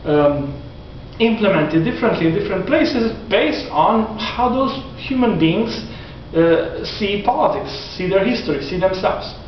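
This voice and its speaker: A man in his forties giving a classroom lecture, animated and gesturing, often restarting his sentences. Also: high voice, unhurried, few pauses, a little hiss or hum. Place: classroom in front of whiteboard. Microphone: far camera. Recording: echoey classroom from far off, dull lo-fi.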